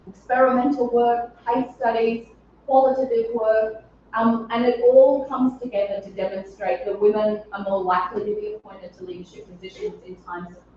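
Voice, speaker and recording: Middle-aged woman academic, slightly scratchy voice, steady lecture delivery; distant mic, muffled sound. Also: a little high. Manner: clear explanatory delivery with occasional ums and throat clearing. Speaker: psychology professor giving a conference talk. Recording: distant, echoey, dull-sounding room.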